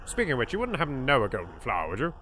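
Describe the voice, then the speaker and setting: A man with an English accent commentating casually on a game he plays, reacting loudly and joking. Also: medium pitch, racing, slight background noise. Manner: animated, exclaiming, swearing in jest. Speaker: let's play host.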